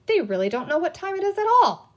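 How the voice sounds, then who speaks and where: Woman voicing an earnest high-pitched small boy. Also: sing-song, fast. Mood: surprised, indignant. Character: earnest small boy adventurer, easily indignant. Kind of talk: storybook character voice.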